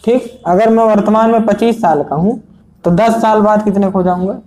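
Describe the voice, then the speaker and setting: Male exam coach, warm low-key tone, repetitive board-style explaining. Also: steady tone, normal speed. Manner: repetitive, checks understanding often. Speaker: math teacher. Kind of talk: exam prep lesson at a board.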